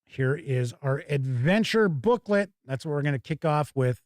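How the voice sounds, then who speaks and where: A man's voice: a little high, animated, moderate pace, a little mellow, no room sound, clean studio sound. A man hosting a tabletop gaming show, chatting casually and thinking aloud as he gives live first-look commentary.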